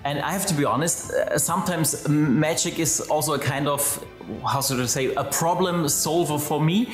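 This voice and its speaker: A man's voice: a little high, normal speed, echoey room, faint music behind. A man in his thirties, a native German speaker with a German accent, guest magician bantering playfully over a video call from his living room. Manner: playful, showman patter. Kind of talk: casual interview with magic demo.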